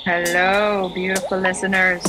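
A woman co-hosting a podcast, chatting in a playful, somewhat halting way. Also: on the low side, little pitch movement, music in the background, poor audio. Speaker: podcast co-host. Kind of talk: casual podcast chat.

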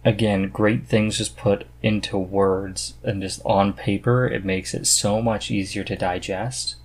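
A man with a fairly low voice hosts a casual podcast. He talks earnestly and with enthusiasm, in a loose conversational style. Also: fairly even intonation, unhurried, slightly rough. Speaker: podcast host.

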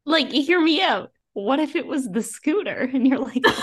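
A woman with a slightly high voice speaks as a podcast co-host in informal book talk. She is blunt and opinionated, phrasing her takes in a joking, conversational way. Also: lively intonation, normal speed. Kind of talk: casual book chat.

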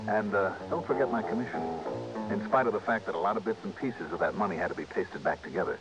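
Hard-boiled male radio sleuth played by an actor, low and terse, dry wit, dull vintage broadcast. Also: fast, close to an everyday voice. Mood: wry, relieved. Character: tough, wry male insurance investigator. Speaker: lead actor and narrator. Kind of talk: 1960s radio detective drama narration. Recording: band-limited old radio recording, music behind.

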